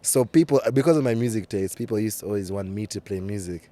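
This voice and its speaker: A young man in his twenties from Uganda with Kenyan-tinged English, a DJ chatting as a podcast guest in an easygoing way, full of "like" and quick laughs. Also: on the low side, warm tone. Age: 20s. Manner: easygoing, lots of "like", laughs readily. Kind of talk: casual podcast chat.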